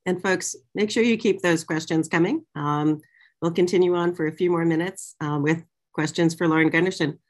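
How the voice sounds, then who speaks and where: A woman's voice: lowish voice, fairly even intonation, normal speed. A middle-aged woman hosting a casual conversation over a video call, putting audience questions in an informal, hesitant way. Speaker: interviewer, moderator. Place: small office, indoors. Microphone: video call, computer microphone.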